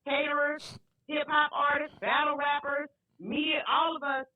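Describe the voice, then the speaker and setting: A woman's voice: sits high, level tone, moderate pace. A Southern woman, a caterer and guest, talking casually and earnestly, sometimes repeating herself as she makes a point. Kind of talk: casual podcast talk. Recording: dry, phone-like lo-fi sound.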